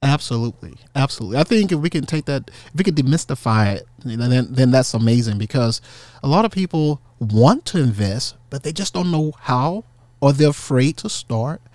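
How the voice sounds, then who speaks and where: A man's voice: mid-range voice, expressive, fairly quick, darkish tone, dry recording. A man hosting a casual podcast discussion, talking in an encouraging, affirming way. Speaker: podcast host.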